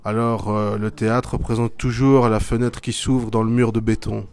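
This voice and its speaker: A man's voice: on the low side, level tone, moderate pace, darkish tone, clean tone. A middle-aged man from Belgium, a theatre artist and teacher, answering interview questions thoughtfully with many hesitations. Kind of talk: school media interview, reflective answers.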